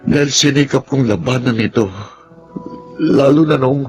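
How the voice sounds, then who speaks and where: An actor playing a troubled young Filipino lawyer in a radio drama, hesitant and confessional. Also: male voice, mid-range voice, normal speed, slight background noise, close to an everyday voice. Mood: remorseful confession. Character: troubled, soft-spoken young male lawyer with a secret. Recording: music behind the voice.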